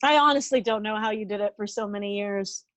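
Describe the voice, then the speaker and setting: A woman's voice: medium pitch, quick pace, fairly bright tone, dry recording, lightly acted. A woman in a sitcom table read playing a perky, sincere, chatty and eager matchmaker. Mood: chatty, hopeful. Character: Maggie, perky, sincere female rival matchmaker.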